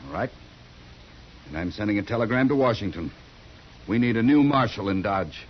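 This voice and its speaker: A man's voice: fairly low pitch, expressive, normal speed, slight background noise, natural voice. Officious government man played by a male actor, muffled recording. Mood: indignant. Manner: prim, insistent, crisp wording. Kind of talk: 1950s radio drama acting. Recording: dull lo-fi 1950s radio recording.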